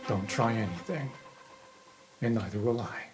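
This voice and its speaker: A man playing a defensive, sullen young male dark elf student in an improvised tabletop game, guarded and sarcastic in manner. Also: fairly low pitch, moderate pace, natural voice. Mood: wary, accusing. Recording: music under the voice.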